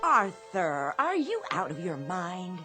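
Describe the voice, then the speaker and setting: An actress playing an anxious, distrustful shopkeeper's wife in a radio drama, hesitant and fretful. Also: female voice, fairly low pitch, wildly swooping pitch, slow pace, over music, heavily acted. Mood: disbelieving, wary.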